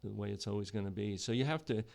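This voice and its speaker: A middle-aged man from New Jersey, talking in a casual interview in a measured way with many fillers and restarts. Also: fairly low pitch, creaky, a little mellow. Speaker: podcast guest, economic development board chair.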